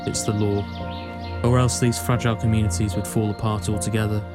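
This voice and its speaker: An actor playing a reflective, melancholy young male student in a scripted film voice-over, speaking in a measured way. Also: lowish voice, flat delivery, natural voice. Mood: wry. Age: young adult. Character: wistful young male student returning home. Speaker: narrator and lead of a short film. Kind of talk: scripted poetic voice-over narration. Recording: loud music under the voice. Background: prominent music.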